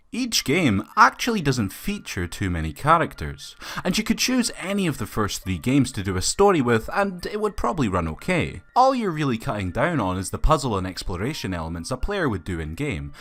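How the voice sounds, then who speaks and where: Male video reviewer, scripted but colloquial film commentary, animated intonation in a mid-to-low register. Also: brisk, hardly any pauses.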